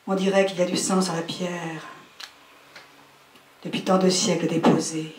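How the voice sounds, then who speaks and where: A man's voice: sits high, level tone, normal speed. A man reciting poetry as a reader at a literary event. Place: indoor literary event room. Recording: echoey room.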